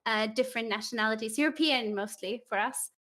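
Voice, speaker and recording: High-voiced Austrian woman chatting casually. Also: brisk, sharp tone. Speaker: company communication manager, livestream participant. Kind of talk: casual chat.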